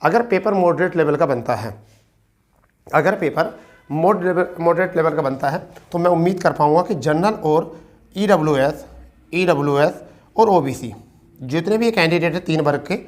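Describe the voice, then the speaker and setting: A man's voice: fairly high pitch, normal speed. A man teaching exam students in an informal explainer style, repeating points and often checking that listeners follow. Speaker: exam-prep teacher and presenter.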